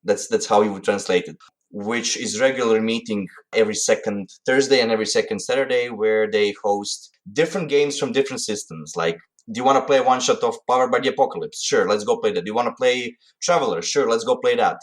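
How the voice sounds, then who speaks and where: A young man from Croatia with a Croatian accent, recounting his hobby in a relaxed way with frequent fillers. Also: lowish voice, fairly quick. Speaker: interviewee. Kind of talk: casual recounting.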